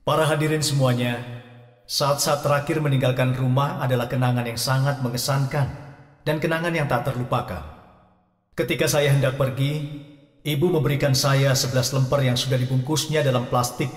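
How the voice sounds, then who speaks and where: A man's voice: medium pitch, fairly even intonation, normal speed, natural voice. An actor playing Ken, an earnest, devoted grown son who narrates his own story in a heartfelt, solemn way in an audio drama. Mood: reflective. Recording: roomy echo with long reverb, distant, music behind.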